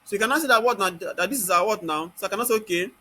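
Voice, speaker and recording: A Nigerian-accented man giving an informal step-by-step software tutorial, constantly asking rhetorical questions and answering them himself. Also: high-pitched, rapid-fire.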